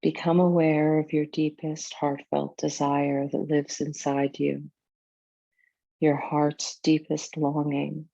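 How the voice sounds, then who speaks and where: A woman teaching yoga nidra, narrating a guided meditation in a soothing, deliberate way with measured pauses. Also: sits low, little pitch movement, gravelly, mellow, dry recording, lo-fi sound.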